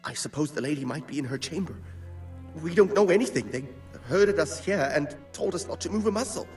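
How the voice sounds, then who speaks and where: A man's voice: a little high, expressive, fairly quick, darkish tone, faint music behind, close to an everyday voice. Distant, anxious medieval captive played by a man. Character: frightened, agitated medieval captive. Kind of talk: dramatic video game cutscene acting. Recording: distant from the mic.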